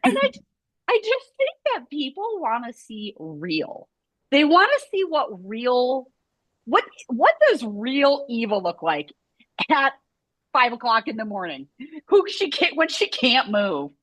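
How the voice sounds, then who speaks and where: Woman studio owner, casual rambling chat, a little high-pitched, dry close sound. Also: sing-song, measured pace, a bit bright, no room sound, poor audio. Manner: chatty, rambling, lots of "like" fillers. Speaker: scoliosis movement studio owner. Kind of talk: casual video chat conversation.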